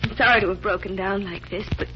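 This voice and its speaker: A woman's voice: medium pitch, steady tone, fast, lightly acted. A woman playing a frightened, earnest young heiress, pleading in emotional 1940s radio drama style. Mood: shaken. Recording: muffled, noisy 1940s radio recording.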